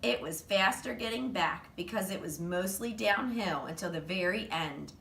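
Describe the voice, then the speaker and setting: A woman reads a children's book aloud with animated expression. Her voice is bright and forward, caught a little far from the mic in an echoey room with faint noise behind it. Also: mid-range voice, normal speed, creaky. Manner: animated storytelling. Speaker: reader, teacher.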